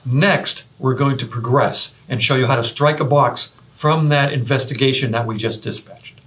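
A man's voice: medium pitch, moderate pace, fairly bright tone, slight background noise. A man in his fifties presenting a training video, explaining directly to trainees. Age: 50s. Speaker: fire lieutenant, training presenter. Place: indoor office room, facing camera. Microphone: lapel.

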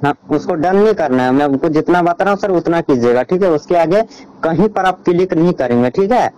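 Guy on a phone call, kinda high voice, keeps pushing step by step instructions, repeats himself. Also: expressive, brisk, darkish tone, dry recording. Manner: insistent, repetitive, directive. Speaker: phone caller.

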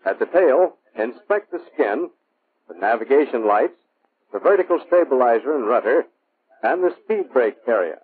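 A tinny, narrow-band vintage military film soundtrack carries an American man's narration. His voice is rough-edged and sits at a middle pitch. He delivers scripted instructions in a clipped, measured way, like a formal training film narrator. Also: lively intonation.

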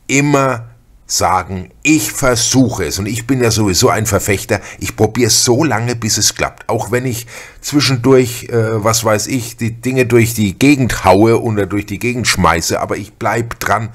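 Husky middle-aged man hosting a podcast. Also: fairly low pitch, a little slow. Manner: chatty, informal, direct advice-giving. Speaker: podcast host. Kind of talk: casual spoken podcast monologue addressed to listeners.

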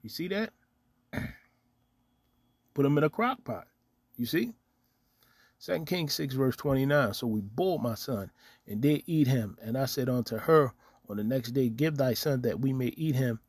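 A man's voice: mid-range voice, lively intonation, measured pace, pauses often, a little mellow, slightly rough, no echo, clean studio sound. A man giving casual religious commentary on the news, reading scripture aloud and tagging nearly every point with "okay?". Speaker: online preacher commenting on news. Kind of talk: casual religious commentary over screen recording.

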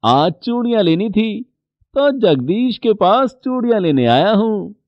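Hearty middle-aged trader. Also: male voice, high-pitched, sing-song, unhurried, dry recording, highs cut off, heavily acted. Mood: cheerful. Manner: hearty, chatty. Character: jolly middle-aged male bangle trader Nathulal.

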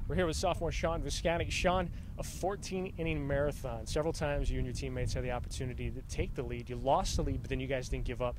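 A man hosting postgame baseball coverage, narrating highlights with energy and interviewing players on the field with a handheld mic. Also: quick pace, hardly any pauses. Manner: energetic, builds to shouts on big plays. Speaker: sports network host and play-by-play narrator. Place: outdoors on a lit baseball field at night. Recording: outdoor field noise behind.

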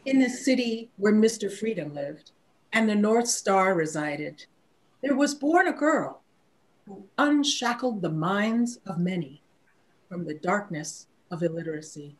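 Slow, expressive older woman reciting poetry. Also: medium pitch, rough recording. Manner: measured, declamatory. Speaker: poetry reader.

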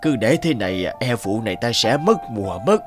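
A man's voice: fairly low pitch, sing-song, fairly quick. An actor playing a stern old farmer grandfather in a cartoon, scolding and firm. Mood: worried. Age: older. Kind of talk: cartoon voice acting. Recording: faint music behind.

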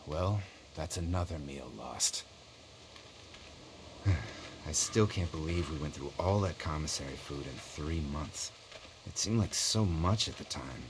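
A man's voice: very deep voice, lively intonation, takes it slowly, noisy background, heavily acted. A man with an American accent playing a frustrated, hungry, talkative survivor in a video game. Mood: grumbling, wry.

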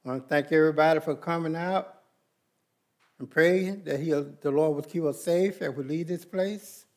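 Man, slightly high voice, leads communion and prayer at a pulpit mic in a big sanctuary, measured and reverent. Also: darkish tone. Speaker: church leader at the Lord's Supper. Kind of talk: plain church service leading and prayer. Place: large church sanctuary.

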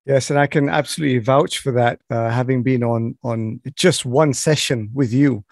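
A man from Malaysia who speaks English as a second language, hosting a podcast in a casual, halting conversational way. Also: medium pitch, no room sound. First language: not English; English is a second language. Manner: halting, often repeats words. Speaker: podcast host. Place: small indoor studio with dark acoustic foam. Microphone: close studio microphone with headphones.

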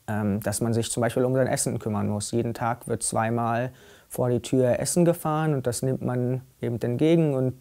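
A man's voice: medium pitch, creaky, mellow. A young man of eighteen answering interview questions in a plain, matter-of-fact way. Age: young adult. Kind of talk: recorded interview. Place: dim indoor set.

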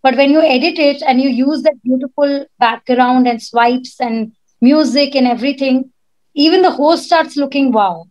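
A woman with a husky voice, a guest speaker giving confident, chatty advice on a video call. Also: high voice, moderate pace, darkish tone. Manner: confident, chatty, says "like" often. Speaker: guest speaker, podcast producer. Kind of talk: casual advice talk. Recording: dull lo-fi video call audio.

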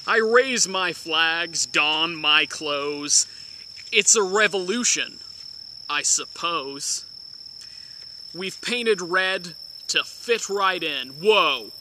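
A young man doing a slow, overly grand dramatic reading outdoors by the woods. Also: on the high side, lively intonation, sharp tone, a little hiss or hum. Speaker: dramatic reader. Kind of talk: mock-dramatic reading of song lyrics.

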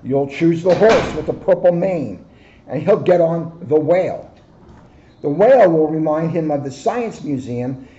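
A man in his sixties, a police officer, reading a picture book aloud with joking asides, in a high-pitched voice. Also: lively intonation, unhurried, warm tone, a little hiss or hum. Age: 60s. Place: office, indoors. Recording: dull, lo-fi camera microphone.

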